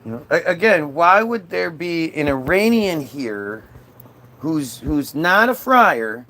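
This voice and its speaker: Combative man with a higher-pitched voice, ranting. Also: big pitch swings, slow pace, bright, forceful tone. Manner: combative, dismissive; frequent swearing. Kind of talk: casual political rant, replying to chat comments.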